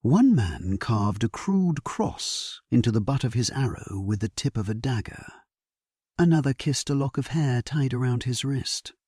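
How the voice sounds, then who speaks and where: A man playing a solemn, resolute English warrior king, narrating his battle in a measured, stately way for an audio drama. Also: mid-range voice, animated, darkish tone, slightly rough, no room sound, clean studio sound. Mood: solemn, reflective. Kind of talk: dramatic scripted first-person narration, sleep story.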